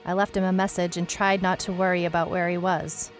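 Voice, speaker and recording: A woman's voice: fairly low pitch, level tone, a little fast, faint music behind, close to an everyday voice. An actress playing a wry, skeptical private detective, narrating her mystery story in a dry, musing first-person way. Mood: puzzled, uneasy. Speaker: narrator and lead character of an audio mystery serial.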